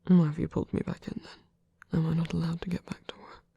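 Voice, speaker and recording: A performer voicing a gentle, devoted male vampire priest in an intimate ASMR roleplay, speaking in a breathy, hesitant murmur with formal wording. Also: mid-range voice, level tone, quick pace, no room sound, dull audio. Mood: hesitant, earnest. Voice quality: breathy, whispery. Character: soft-spoken, tender male vampire priest, earnest and devoted. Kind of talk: intimate ASMR roleplay, scripted romantic audio drama. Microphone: close, dry microphone.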